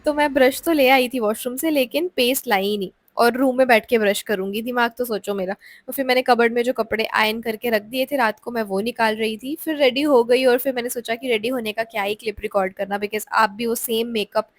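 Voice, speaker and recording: A woman's voice: fairly high pitch, steady tone, brisk, dry recording. Chatty young woman narrating a vlog. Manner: chatty Hinglish storytelling. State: sore throat and cold. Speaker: vlogger. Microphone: close voice-over mic.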